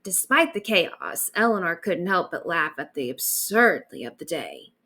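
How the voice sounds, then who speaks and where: A woman reading a bedtime story aloud as an expressive storyteller. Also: on the low side, moderate pace.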